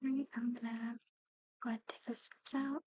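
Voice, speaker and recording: Woman, ASMR roleplay, soothing eerie medic, gentle whispers and murmurs, mellow high voice, dull close-up sound. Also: flat delivery, moderate pace, slightly rough, no room sound. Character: soothing eerie female medic tending a patient.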